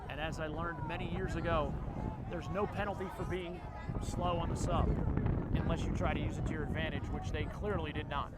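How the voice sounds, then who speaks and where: A man with a Philadelphia accent calling a lacrosse game play by play, quick and jokey, shouting on big plays. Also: on the high side, steady tone. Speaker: play-by-play commentator. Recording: outdoor broadcast with loud crowd and noise.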